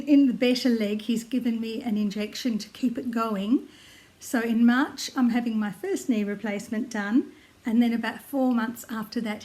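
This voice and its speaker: Croaky older Australian woman, chatty. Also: on the high side, a little mellow. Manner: chatty, friendly, with frequent ums and little laughs. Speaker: knitting vlogger. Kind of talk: casual chat to camera. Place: at home in her lounge room, seated. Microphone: tablet camera close by.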